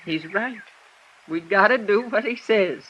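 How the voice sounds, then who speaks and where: A woman's voice: medium pitch, a little slow, fairly bright tone, a little hiss or hum, natural voice. A man's or boy's part it is not: a woman playing a resolute, worried middle-aged mother, speaking firmly in an old radio drama. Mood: resolute, tense. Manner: firm, urgent, plain-spoken. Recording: muffled old radio recording.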